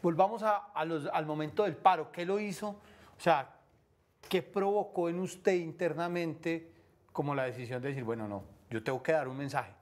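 A man in his thirties with a Colombian accent hosts a studio interview, asking measured, probing questions. His voice sits slightly high and he is picked up by a lapel mic on set. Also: fairly even intonation. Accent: Colombian Spanish. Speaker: TV host interviewer.

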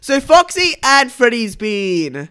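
Young adult game YouTuber, casual reaction commentary, sharp bright tone, mid-range pitch. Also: sing-song, slow, clear, resonant tone. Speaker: YouTuber reviewing game cutscenes.

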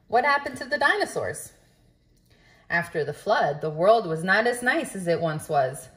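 Female storyteller, mid-range pitch, children's read-aloud, teacherly delivery aimed at young listeners. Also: a bit bright. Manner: instructive, addressing young listeners with questions. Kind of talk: reading a children's picture book aloud.

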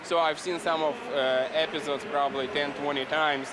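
A native Russian speaker, a man in his thirties, chatting haltingly and good-humouredly in an interview. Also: on the high side, steady tone. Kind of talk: casual interview answer. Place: crowded indoor convention hall. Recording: noisy convention floor.

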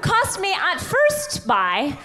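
A woman co-hosting a live comedy podcast on stage, joking in an animated, mock-glamorous way. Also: very high-pitched, slow. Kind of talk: comedic live banter with an audience. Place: on stage in a theater before an audience. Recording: live theater with loud crowd laughter. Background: loud audience laughter and cheering.

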